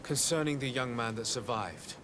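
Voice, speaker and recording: Actor as formal noble envoy, rumbling background. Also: male voice, normal speed, background noise, close to an everyday voice. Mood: concerned. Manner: formal, probing, authoritative. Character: formal, determined young male noble envoy. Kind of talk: video game cutscene acting.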